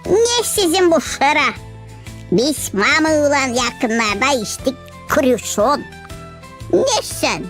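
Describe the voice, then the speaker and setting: A woman's voice: high-pitched, sing-song, slow, a bit bright, full character voice. A woman voicing a cheeky, know-it-all young hedgehog in a children's cartoon, naive and boastful. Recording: music behind the voice.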